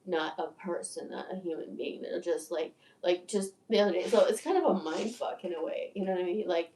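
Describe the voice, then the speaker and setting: Give me a low, dark-toned woman of sixty rambling and swearing freely, in a roomy, echoing space. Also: fairly quick. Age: 60s. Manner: stammering restarts, profane, candid. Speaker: video creator. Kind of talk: rambling livestream monologue. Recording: echoey room, distant from mic.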